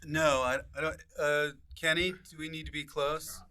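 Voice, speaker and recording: A man hosting a casual comedy podcast, joking playfully and given to sudden loud exclamations. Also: a little high, flat delivery, moderate pace, smooth. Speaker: podcast host.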